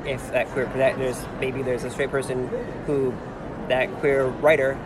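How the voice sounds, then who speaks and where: A man with a medium-pitched voice hosts a casual interview. He talks in effusive, rambling runs, often rephrasing mid-sentence. The recording has clear ambient noise behind him. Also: little pitch movement, measured pace. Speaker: podcast host interviewing authors. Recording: noisy on-location recording, ambient background sound.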